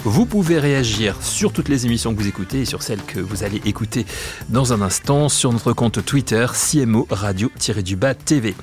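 A man hosting a radio podcast interview in an agency office, asking questions in a relaxed, chatty way. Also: mid-range voice, fairly quick, soft background music. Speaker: radio show host.

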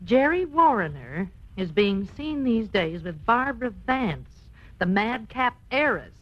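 A woman playing a wry, breezy, inquisitive confidante in a 1950s radio comedy, brisk and wisecracking. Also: on the high side, big pitch swings. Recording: muffled 1950s radio broadcast.

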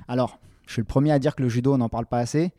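Mellow-voiced male sports interviewer. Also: medium pitch, steady tone, racing, no echo, clean studio sound. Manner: friendly, rambling questions with restarts.

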